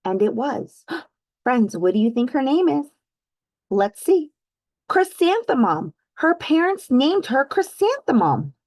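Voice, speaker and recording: A woman's voice: fairly high pitch, dry recording. Woman reading a children's storybook, dry close recording. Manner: teacherly, addresses listeners as friends; animated, exaggerated storytelling emphasis with drawn-out words. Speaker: read-aloud host for children. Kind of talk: expressive children's storybook read-aloud with questions to young listeners.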